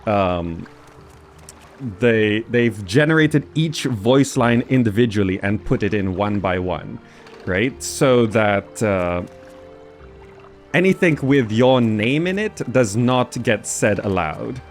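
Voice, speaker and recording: A man's voice: lowish voice, animated, takes it slowly, soft background music. A man giving casual gameplay commentary, thinking aloud in a hesitant way as he goes. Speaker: gamer presenting a game mod.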